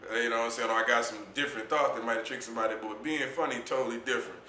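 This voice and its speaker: A man with a deep voice, speaking as an interview guest in a laid-back, reflective way about his poetry and youth mentoring. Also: steady tone, rapid-fire, pushed and bright, reverberant, slight background noise. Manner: laid-back, reflective, says "you know what I'm saying" often. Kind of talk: casual interview talk.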